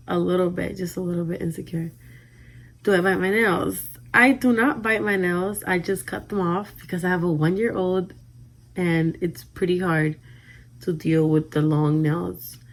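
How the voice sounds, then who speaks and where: A woman in her twenties with a Dominican accent answers questions in a relaxed, chatty vlog. Her voice is soft, and she often lets the ends of her lines fade out quietly. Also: lowish voice, normal speed, creaky. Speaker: vlogger. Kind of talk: casual Q&A vlog.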